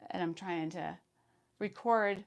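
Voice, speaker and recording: Hoarse, gravelly sewing vlogger woman. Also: fairly low pitch, takes it slowly. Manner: chatty, reads viewer comments aloud. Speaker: sewing channel host. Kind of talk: casual live-stream chat.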